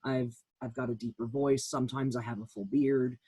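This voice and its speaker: A man giving a candid personal account as a panelist, speaking haltingly as he thinks aloud. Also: medium pitch, fairly even intonation, warm tone, slightly rough, no room sound.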